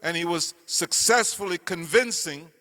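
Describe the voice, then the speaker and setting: Older cameroonian guy giving a stump speech, earnest and deliberate, echoey hall. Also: on the high side, sharp tone. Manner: earnest, storytelling, slow deliberate emphasis. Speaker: political candidate speaking at a meeting. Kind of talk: campaign speech. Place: meeting hall. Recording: reverberant hall.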